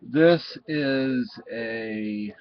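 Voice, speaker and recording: A man giving a casual, unscripted product review in a halting, rambling way. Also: mid-range voice. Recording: dull, muffled lo-fi sound.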